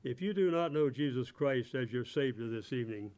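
Halting man in his eighties giving testimony. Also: medium pitch, expressive, a little mellow. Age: 80s. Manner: halting, repeats words. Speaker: church member giving testimony. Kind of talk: church testimony, read partly from notes. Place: church sanctuary at a pulpit. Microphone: microphone on a stand at the pulpit.

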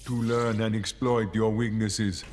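A man acting a stoic killer robot in a video game, speaking in flat, terse, mechanical lines. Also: on the low side, a little slow, natural voice. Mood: cold, flat. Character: stoic, menacing humanoid killer robot, adult male. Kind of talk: video game character dialogue. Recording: long reverb, loud effects noise, clicks.

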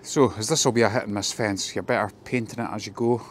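A man's voice: on the low side, steady tone, fast talker, slight background noise. A man, a fencing contractor, filming himself as he walks through a job and explains it in plain, practical terms with many ums, outdoors in a garden. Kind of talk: casual work vlog walkthrough.

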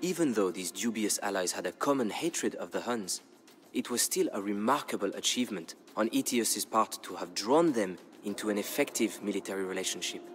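A low, solemn male storyteller over music. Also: expressive, fairly bright tone. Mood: measured. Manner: measured, dramatic, deliberate. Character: solemn male storyteller recounting grim war history. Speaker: narrator. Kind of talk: scripted storybook narration. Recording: narration over background music.

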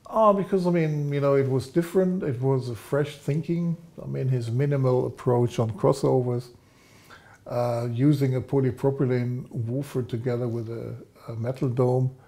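A man in his sixties explaining technical matters in an interview, speaking in a measured, deliberate way. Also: mid-range voice, fairly even intonation, dark tone. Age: 60s. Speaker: guest, loudspeaker designer. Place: studio set, seated indoors.

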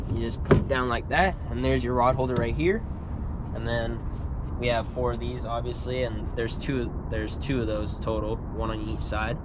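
A young American man giving a casual vlog-style walkthrough, recorded outdoors on an open boat. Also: mid-range voice. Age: teens to early twenties. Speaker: boat tour presenter. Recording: loud outdoor ambient noise.

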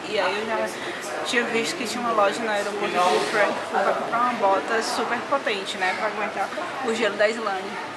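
A woman's voice: medium pitch, steady tone, fairly quick, over a noisy crowd, poor audio. A young woman with a Brazilian Portuguese accent, chatting casually and cheerfully to a handheld camera while travelling. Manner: cheerful, chatty, laughs often. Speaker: travel vlogger. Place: airports and camper van. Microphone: handheld action camera. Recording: music mixed under voice.

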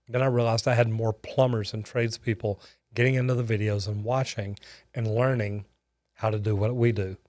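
An older man hosting a casual livestream, folksy and friendly, on a close boom mic in a small studio. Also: darkish tone, dry recording. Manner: folksy, thanks viewers by name. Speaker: host. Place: studio with shelves.

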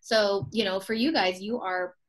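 A woman's voice: medium pitch, fairly even intonation, moderate pace. A young woman hosting a casual video call interview, upbeat and chatty, often laughing between thoughts. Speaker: host, expo co-owner.

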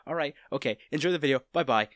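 A man's voice: fairly high pitch, quick pace, fairly bright tone, no room sound, clean studio sound. A young man, the host of a channel, giving a casual announcement to followers. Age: young adult.

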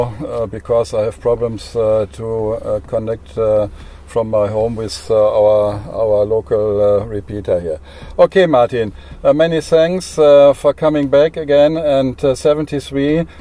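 A man with a German accent making an amateur radio contact, speaking courteously and haltingly. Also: mid-range voice, warm tone. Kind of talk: amateur radio contact, spelling in phonetic alphabet. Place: inside a parked car.

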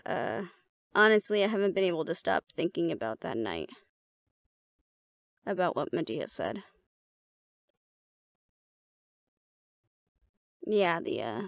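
Woman acting a creaky-voiced, fond warrior girlfriend. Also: on the low side, no echo, studio quality, heavily acted. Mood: hesitant, pensive. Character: battle-seasoned woman, fond, teasing mate of a werewolf. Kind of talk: roleplay audio drama, casual intimate dialogue addressed to the listener.

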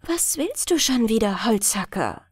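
A woman's voice: a little high, very animated, unhurried, husky, no room sound, clean studio sound, exaggerated voice. A woman voicing a proud, stern female water nixie in a fairy tale, speaking formally. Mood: stern, questioning.

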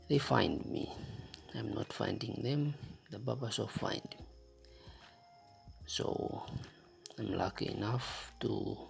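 A young man with a breathy voice talking casually to vlog viewers, haltingly and searching for words. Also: lowish voice, a bit bright. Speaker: vlogger.

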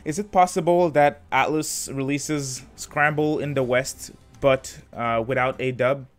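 A man hosting a gaming podcast, soft-spoken and hesitant, with many fillers. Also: medium pitch, steady tone, a little slow, soft background music. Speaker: podcast host. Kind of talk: casual gaming chat.

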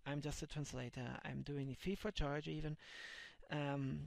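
Man, German accent, gravelly, somewhat high voice, halting casual vlog talk, headset mic, dry sound. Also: flat delivery, no room sound. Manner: rambling, many fillers and restarts. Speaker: vlogger and comic translator. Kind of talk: casual video blog talk. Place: small dim room indoors.

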